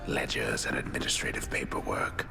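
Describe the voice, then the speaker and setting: Aged game narrator, rock-bottom pitch, creaky, solemn, formal, omniscient, music underneath. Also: male voice, heavily acted. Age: older. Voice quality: gravelly, breathy low tones. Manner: solemn, formal, measured archaic phrasing. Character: grave old male storyteller, lofty and omniscient. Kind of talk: scripted dramatic game narration.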